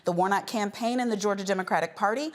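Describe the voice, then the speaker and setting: A woman's voice: medium pitch, fast. A woman hosting a political news panel in an informal, emphatic, conversational way. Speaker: panel show host.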